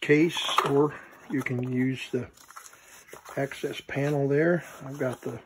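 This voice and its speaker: Slow-talking man giving a casual demonstration. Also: mid-range voice, fairly even intonation, heavy background noise. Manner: halting, thinking aloud in short fragments. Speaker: vintage electronics collector presenting his item. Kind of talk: casual unscripted hands-on product demonstration.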